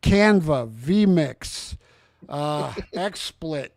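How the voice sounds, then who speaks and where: A man's voice: fairly high pitch, expressive, extremely slow, vocal fry, darkish tone, no echo, clean studio sound. A man in his sixties hosting a casual political livestream chat. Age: 60s. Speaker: talk show host.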